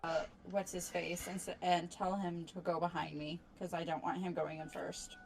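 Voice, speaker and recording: A woman playing in a tabletop game, animated and self-deprecating as she chats with the group. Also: fairly low pitch, moderate pace, gravelly, a little hiss or hum, low-quality recording. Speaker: player. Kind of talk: casual tabletop game chat.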